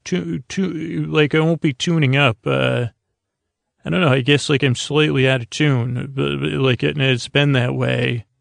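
Male sleep-podcast host: gravelly creak, meandering bedtime monologue, halting delivery, close dry sound. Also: mid-range voice, fairly even intonation, no echo. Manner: hesitant, meandering, repeats words and trails off. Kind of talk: rambling bedtime monologue to help listeners sleep.

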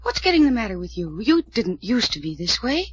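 An earnest young lady in a vintage radio serial. She pleads politely in a lively, slightly high voice with a husky grain. The recording is dull and old. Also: fairly quick, no room sound. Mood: reproachful. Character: earnest, gently scolding young woman hostess. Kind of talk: old-time radio drama acting.

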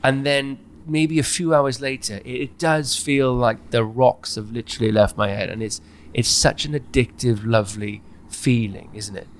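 A man from Wales talks openly about himself in a mid-range voice. He is animated and conversational, often interrupting himself and voicing his own inner thoughts. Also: mid-range voice, moderate pace, slight background noise. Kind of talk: candid, personal conversation.

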